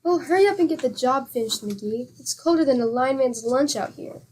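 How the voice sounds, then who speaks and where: An actress playing a patient, good-humored wife with a teasing, exclamatory manner in a 1940s-style radio comedy. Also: female voice, high voice, lively intonation, a little fast, a little mellow, a little hiss or hum.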